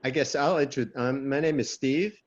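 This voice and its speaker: A man's voice: mid-range voice, moderate pace. An older man with a Long Island New York accent, talking casually about himself as co-host of an online group. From Long Island, New York, USA. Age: 60s or older. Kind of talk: casual group video-call introduction. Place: online video call. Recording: dull lo-fi video call audio.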